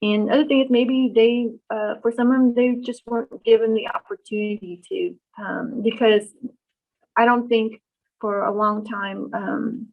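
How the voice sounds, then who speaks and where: Woman, middle-aged, from Vietnam; gravelly, halting interview answers, muffled lo-fi sound. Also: mid-range voice. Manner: thoughtful, many ums and restarts. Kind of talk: oral history interview. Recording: dull lo-fi recording.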